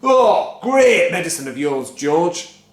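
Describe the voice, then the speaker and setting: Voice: medium pitch, big pitch swings, slow, slight background noise, voice pushed up. A reader voicing a gleeful, mean-spirited husband who talks in a raised, mocking voice, recorded in a roomy, echoing space. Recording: roomy echo.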